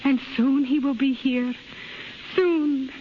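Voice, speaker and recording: A scratchy, muffled 1930s radio recording carries a high female voice. a devoted young Japanese wife and mother, lovesick and earnest. Her English is simple and broken, with formal politeness. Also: steady tone, slow. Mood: eager. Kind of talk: 1930s radio drama acting.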